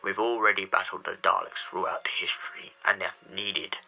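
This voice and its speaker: A man playing a grave, resolute Time Lord president in a sci-fi audio drama, giving a solemn, halting formal wartime address. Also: low-pitched, steady tone, creaky, bright, forceful tone, soft background music, heavily acted, poor audio.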